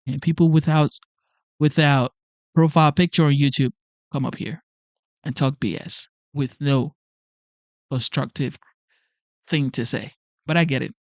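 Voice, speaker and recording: A man's voice: fairly high pitch, smooth, no room sound. A man hosting a motivational livestream, talking to camera with emphatic, repetitive urging. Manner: emphatic, repetitive, preachy pep talk. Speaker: channel host. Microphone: facing a camera.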